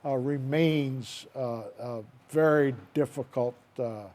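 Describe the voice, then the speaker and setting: A man's voice: mid-range voice, steady tone, slow, a little mellow. A man speaking as a debate guest, reflective and hesitant. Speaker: panel guest. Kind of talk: live debate contribution.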